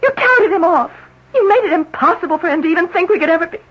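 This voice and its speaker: An actress playing a grieving young woman who speaks in a sharp, accusing way. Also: very high-pitched, expressive, fast. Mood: bitter. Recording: dull old radio broadcast.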